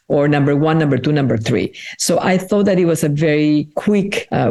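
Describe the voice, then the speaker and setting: Woman, middle aged, mellow warm voice, slows down to think things over. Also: sits low. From Peru. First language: Spanish. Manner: thoughtful, earnest, often slows down to weigh her words. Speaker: artist being interviewed. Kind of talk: reflective interview answers.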